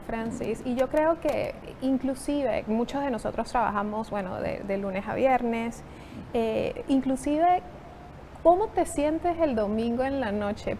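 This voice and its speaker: A woman in her thirties from Venezuela, a psychotherapist, answering interview questions in a reflective, measured way. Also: fairly high pitch, warm tone, a little hiss or hum, poor audio. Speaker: psychotherapist guest.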